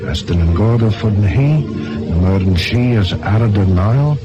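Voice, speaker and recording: Deep voiced radio guy doing a formal sign off, slow and rich, sounds like a dull old tape. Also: animated, very noisy. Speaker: radio announcer. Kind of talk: formal station close-down announcement. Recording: dull archive radio recording.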